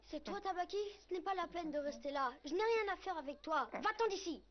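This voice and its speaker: A woman's voice: very high-pitched, expressive, a little fast, slight background noise. A woman voicing a stubborn, earnest little jungle boy in a dubbed cartoon. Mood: annoyed. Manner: pleading, insistent, earnest. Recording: muffled cartoon soundtrack with music.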